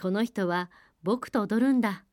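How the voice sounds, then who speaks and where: A woman's voice: mid-range voice, unhurried, a little mellow, dry recording, lightly acted. A courteous young prince from a fairy tale, voiced by a woman storyteller. He speaks politely but will not be refused. The sound is dry and close. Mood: insistent. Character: young prince, courteous, possessive and insistent suitor.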